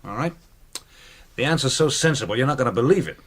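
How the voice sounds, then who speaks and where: An actor playing a brash, excitable American knife-thrower in a radio drama, with slangy, animated talk and an American accent. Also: male voice, mid-range voice, fast talker, lightly acted. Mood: defensive.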